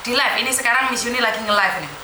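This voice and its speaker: A woman hosting a live-streamed call-in chat, animated and encouraging, slipping into Javanese terms of address. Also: a little high, racing, reverberant, very noisy. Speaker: host of a livestream.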